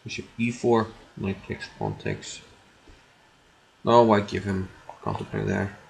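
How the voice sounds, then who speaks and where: A man's voice: on the low side, big pitch swings, unhurried, gravelly, a little mellow, slight background noise. A man with an Icelandic accent giving casual live commentary as he streams a game, muttering and repeating his own phrases, thinking aloud. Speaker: chess streamer.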